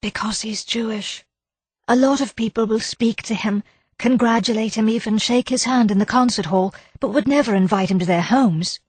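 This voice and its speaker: A woman voicing a gentle, anxious Englishwoman in an audiobook, hesitant and apologetic. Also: on the high side, normal speed, slightly rough, dry recording, pitched above the performer's own voice. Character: shy, anxious, gentle Englishwoman, easily flustered.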